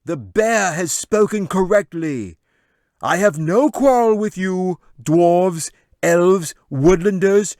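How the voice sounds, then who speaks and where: A scheming storybook wizard with a voice pushed high and loud. He declaims like a ruler addressing a hall, commanding and grand. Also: clean studio sound, full character voice.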